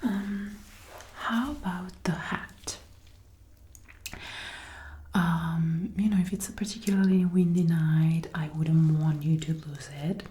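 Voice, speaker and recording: A woman doing a gentle, attentive ASMR roleplay as an outfit designer, soft-spoken and often whispering, breathy and close to a binaural mic. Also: fairly low pitch, fairly even intonation, slow pace, long pauses, echoey room. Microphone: close binaural microphone, ear to ear.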